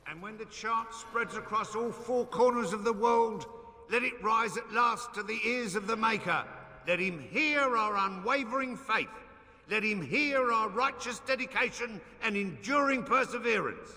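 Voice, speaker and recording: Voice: medium pitch, normal speed, sharp tone, in a large hall, close to an everyday voice. A man playing a devout chanter in a fantasy game, reciting scripture in a solemn, declamatory manner. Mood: fervent, solemn.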